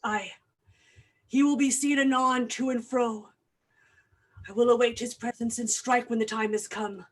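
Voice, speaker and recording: A woman's voice: on the high side, steady tone, a little slow. A woman acting a grave, vengeful doctor who hunts a killer, declaiming Shakespearean verse in a staged reading. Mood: wrathful resolve. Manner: grave, declamatory, archaic diction.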